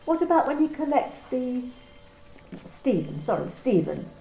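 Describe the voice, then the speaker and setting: A woman's voice: sits high, sing-song, measured pace, vocal fry, warm tone, reverberant, soft background music, full character voice, poor audio. A woman playing a cold corporate manager. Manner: cool, corporate, condescending. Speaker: company manager. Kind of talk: amateur radio play reading.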